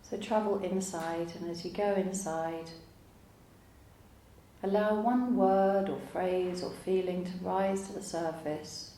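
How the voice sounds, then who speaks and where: A woman teaching yoga nidra, guiding a relaxation meditation in a calm, soothing, unhurried way with long pauses. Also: lowish voice. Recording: roomy echo, faint background noise.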